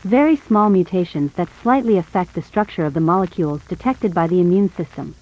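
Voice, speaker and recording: A woman reading scripted educational narration in a measured, explanatory way. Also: lowish voice, a little mellow, muffled recording.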